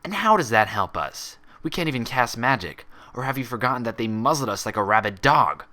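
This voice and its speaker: A man's voice: mid-range voice, animated, fairly quick, pushed and bright, pitched above the performer's own voice. A man voicing the sneering mirror twin of a young pony mare, arguing in a defensive, scornful way in a story.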